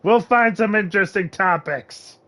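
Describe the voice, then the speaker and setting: A man's voice: sits high, normal speed, pushed and bright. A man giving casual live commentary as a game streamer, with wry, sarcastic asides.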